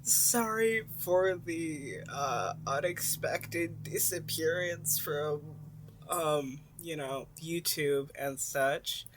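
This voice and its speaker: Young woman with a slightly low voice. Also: drawn-out words, a little hiss or hum, rough recording. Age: young adult. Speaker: podfic reader. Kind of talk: casual intro chat and expressive amateur fiction narration.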